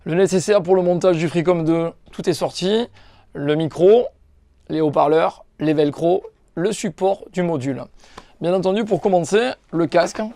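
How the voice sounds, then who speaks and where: Male shop presenter for a step-by-step demo, explanatory and informal, marked vocal fry, close dry sound. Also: on the high side, moderate pace, dark tone, no room sound. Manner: explanatory, informal, addressing viewers directly. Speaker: shop staff presenting a tutorial. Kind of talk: step-by-step hands-on product tutorial.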